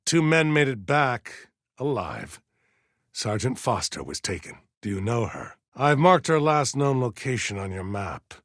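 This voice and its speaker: A man voicing a stern, aging militia colonel in a video game, formal and measured as he gives orders with deliberate pauses. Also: medium pitch, very animated, a bit bright, no room sound, clean studio sound. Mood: grave, urgent. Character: stern aging militia colonel, dutiful, zealous, wary, slightly forgetful. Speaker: military commander giving orders. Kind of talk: scripted video game character dialogue.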